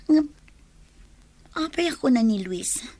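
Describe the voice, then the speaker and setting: A woman's voice: high-pitched, animated, slow, dark tone, dry recording, heavily acted. A woman acting a guarded, hesitant young woman being courted in a radio drama. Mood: pensive, quiet. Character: guarded young woman, courted but wary of drinking men. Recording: music under the voice.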